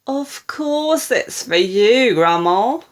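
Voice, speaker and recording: A woman's voice: lowish voice, measured pace. Storybook husband, played by a woman: sly, gleeful, falsely sweet. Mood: sly, mock-sweet. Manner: mock-sweet, amused. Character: sly, gleeful husband egging on his mother-in-law.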